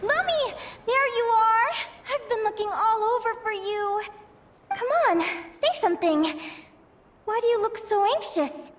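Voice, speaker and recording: A woman voicing a cheerful, impulsive young adventurer in a game, bubbly and quick to exclaim. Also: very high voice. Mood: puzzled. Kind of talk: game cutscene voice acting.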